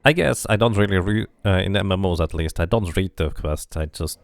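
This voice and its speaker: Low, creaky-voiced man streaming a game. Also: a little fast, no echo. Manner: muses aloud while playing. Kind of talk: casual playthrough commentary and read-aloud narration.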